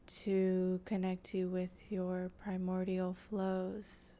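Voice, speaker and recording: Woman, guided meditation host, dark mellow tone, very flat pitch, soft and breathy, near-whisper, halting. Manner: slow, halting, gentle delivery with long pauses. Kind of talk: improvised channeled guided meditation.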